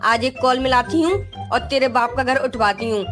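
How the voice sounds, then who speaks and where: A shrill, ranting old mother-in-law, played by a woman, spits insults in a high, piercing voice. She scolds nonstop in a comedy sketch, with music playing clearly underneath. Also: steady tone, normal speed, lightly acted. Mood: shrieking abuse. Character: foul-mouthed, bad-tempered old mother-in-law, always shouting insults.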